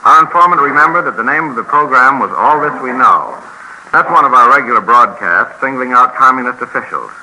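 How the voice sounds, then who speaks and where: A man's voice: medium pitch, fairly quick, bright, forceful tone. A man giving a formal, scripted report as a program director on 1950s radio. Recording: noisy vintage 1950s radio recording.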